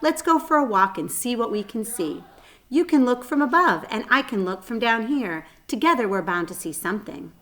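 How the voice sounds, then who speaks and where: A woman's voice: fairly high pitch, expressive, normal speed, a bit bright, voice pushed up. A woman voicing an easygoing, drowsy male animal companion in a children's storybook, with a folksy, coaxing manner. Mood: cheerful. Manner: folksy, coaxing, calls others kid. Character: Bernie, easygoing drowsy male grub-eating animal pal. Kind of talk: children's storybook read-aloud.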